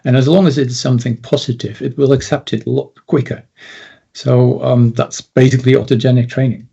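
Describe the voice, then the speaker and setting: Dutch-accented man, mellow and husky. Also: mid-range voice, level tone, brisk, highs cut off. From Netherlands. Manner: earnest, explains step by step. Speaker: confidence and self-esteem coach. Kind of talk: interview guest.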